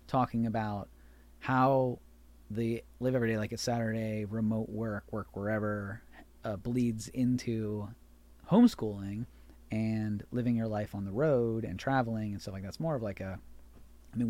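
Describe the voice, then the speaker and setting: A man's voice: fairly low pitch, a little mellow. A man in his mid-thirties hosting a podcast, talking in a rambling, chatty way that keeps circling back and correcting itself. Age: 30s. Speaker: podcast host. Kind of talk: casual chat.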